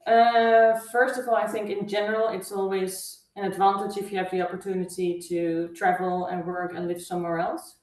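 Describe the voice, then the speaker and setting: A woman from the Netherlands with a Dutch accent, a guest answering interview questions in a measured, thoughtful way with frequent fillers. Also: mid-range voice, flat delivery, a little mellow. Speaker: guest, travel agency owner. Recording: echoey room, far from mic.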